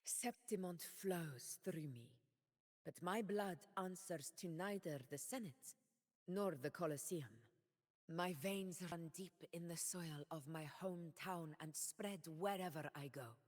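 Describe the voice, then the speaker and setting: Game casting: a commanding warrior ruler, unhurried and resolute, with roaring battle shouts. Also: female voice, lowish voice, long reverb tail. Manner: slow, measured and grand in speech; roaring battle shouts. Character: proud warrior woman ruler, fierce and commanding. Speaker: game character. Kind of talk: video game voice lines.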